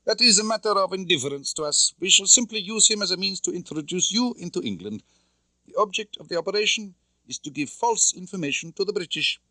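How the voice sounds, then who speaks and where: Audiobook villainess: cold older female Soviet intelligence officer, played by a man, pitched high, commanding, formal, dry close recording. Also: expressive, fast talker, pushed and bright, dry recording. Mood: cold, indifferent. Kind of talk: audiobook character acting.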